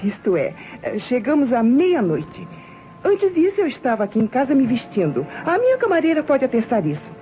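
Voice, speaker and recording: A muffled, noisy old radio recording carries a flippant showgirl. Her voice is high and soft-edged, breezy and theatrical, made for a crime serial. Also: huge pitch swings, normal speed. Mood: defensive, flippant. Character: flippant, worldly showgirl being questioned by police.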